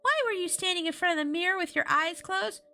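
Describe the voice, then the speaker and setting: A woman's voice: very high-pitched, fast, gravelly, pushed and bright, voice pushed up, full character voice. A woman voicing an eager, bossy and touchy girl ventriloquist from a storybook, insistent and easily exasperated. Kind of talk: storybook character voice.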